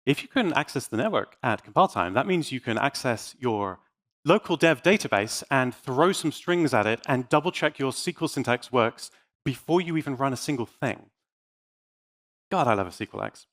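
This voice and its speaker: A man's voice: mid-range voice, expressive, normal speed, a bit bright. A man giving an informal conference talk on tech in a playful, dryly joking and mock-defiant manner. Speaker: conference speaker.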